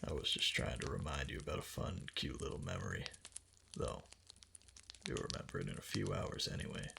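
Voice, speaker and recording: A man playing a doting, obsessively devoted fox-spirit husband in an intimate roleplay ASMR, speaking softly and tenderly in breathy, halting endearments. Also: a bass voice, expressive, noisy background, full character voice. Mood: fond, reminiscing. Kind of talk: intimate roleplay ASMR, soft and partly whispered.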